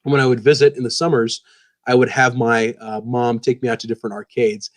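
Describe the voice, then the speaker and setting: Fast-talking enthusiastic man. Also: mid-range voice, little pitch movement. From born in the US, grew up in Pakistan. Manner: enthusiastic, talkative, many fillers. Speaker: guest author. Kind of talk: casual podcast chat.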